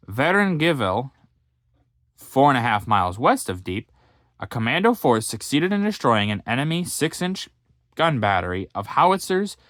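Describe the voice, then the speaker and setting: A man reading a history book aloud, with halting reading, fillers and self-corrections, stopping now and then for casual comments. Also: medium pitch, a bit bright, dry recording, studio quality. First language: English.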